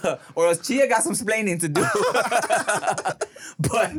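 A man's voice: sits high, lively intonation, slow, over loud music. A man co-hosting a podcast, telling stories in casual chat, swearing freely and laughing often. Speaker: podcast co-host. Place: podcast studio. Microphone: studio microphone.

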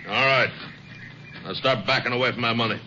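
An actor playing a menacing, greedy gunman in a 1950s radio western, threatening and rough-spoken. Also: medium pitch, brisk, bright, forceful tone. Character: menacing, greedy male outlaw with a gun. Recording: muffled, faintly noisy old radio recording.

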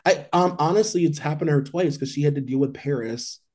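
Male podcast co-host, warm low-key timbre, rambling casual gossip delivery. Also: fairly quick. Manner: loose and chatty, heavy on "like". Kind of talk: casual celebrity gossip chat.

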